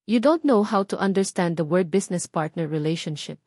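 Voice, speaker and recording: A woman's voice: lowish voice, lively intonation, normal speed, a little mellow, no room sound, clean studio sound. Woman voicing a jealous, hot-tempered wife. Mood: irritated, confrontational. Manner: confrontational, indignant.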